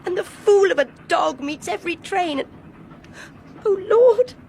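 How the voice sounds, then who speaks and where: Very high-voiced, anxious young Englishwoman. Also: lively intonation, measured pace, gravelly, a little mellow, slight background noise, exaggerated voice. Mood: anxious pleading. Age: young adult. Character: earnest, anxious young Englishwoman, insistent and highly strung. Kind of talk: radio drama acting.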